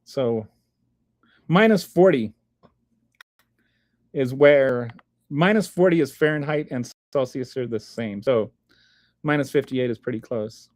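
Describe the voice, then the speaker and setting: A man's voice: medium pitch, a little slow, pauses often, darkish tone, no echo, clean studio sound. A middle-aged man, casually chatting on a livestream and reading viewer comments, rambling with many restarts and fillers. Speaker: livestream host.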